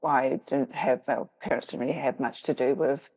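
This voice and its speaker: A woman's voice: rumbling low, fairly even intonation, normal speed, darkish tone, rough recording. A middle-aged woman with a New Zealand accent, a guest in an interview, telling her story in a reflective, easygoing way with easy laughter. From rural New Zealand. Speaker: guest, witch and author. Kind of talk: conversational interview.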